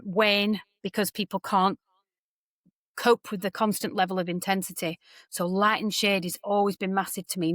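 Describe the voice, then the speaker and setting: A woman's voice: lowish voice, no room sound. Candid British-born woman entertainer, dry sound. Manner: candid and animated, quotes others in lively voices. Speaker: guest entertainer and talent mentor. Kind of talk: candid podcast interview.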